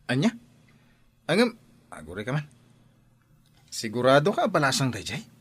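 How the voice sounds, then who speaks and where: Man acting curious young villager, Ilocano accent, husky, lively intonation, flustered, audio drama. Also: mid-range voice, moderate pace, dry recording. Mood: curious, doubting. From Philippines.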